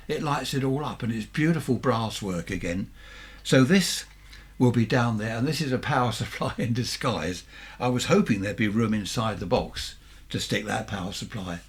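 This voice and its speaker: A man in his seventies with a southern English accent and a husky voice, giving a chatty, rambling, unscripted show-and-tell as a hobbyist, often questioning himself. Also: lowish voice. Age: 70s. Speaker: amateur radio hobbyist presenting vintage equipment.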